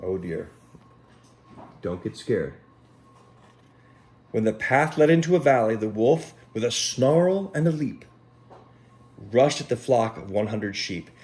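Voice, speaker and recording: Animated man reading a children's story. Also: mid-range voice, slow pace, long pauses, slight background noise. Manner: expressive, dramatic, speaks to child listeners. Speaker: Sunday school storyteller. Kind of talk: animated children's story read aloud with asides. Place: at home.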